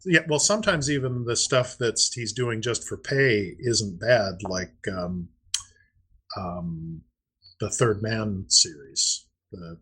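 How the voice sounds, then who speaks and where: A man's voice: on the low side, slow, a bit bright, breathy edge. A man co-hosting a podcast in casual chat, explaining things and thinking aloud. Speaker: podcast co-host.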